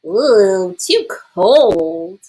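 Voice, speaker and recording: A woman voicing an expressive, fussy and curious young girl in a children's storybook. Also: mid-range voice, very slow, slight background noise, low-quality recording. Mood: startled.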